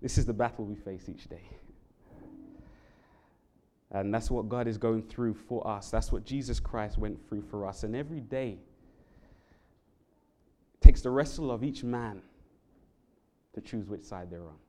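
A man from England with a London accent, preaching a sermon in a church. He speaks earnestly and climbs sharply in pitch and volume on key words. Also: measured pace, long pauses, mellow. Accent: London English. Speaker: Bible worker preaching. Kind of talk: sermon with prayer and scripture reading. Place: church sanctuary.

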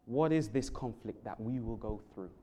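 A London-accented man preaches earnestly from the pulpit in a church, reading scripture aloud. His voice is mellow and dark at a middling pitch. He pushes up sharply in pitch and loudness on the words he wants to stress. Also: normal speed. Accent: London English. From England. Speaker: Bible worker preaching. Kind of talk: sermon with prayer and scripture reading. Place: church sanctuary.

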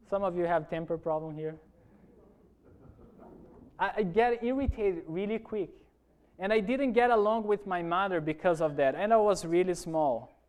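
A man's voice: high-pitched, smooth. A man from Brazil with a Brazilian Portuguese accent, a pastor giving an earnest sermon testimony to his church congregation and often asking them for an "amen.".

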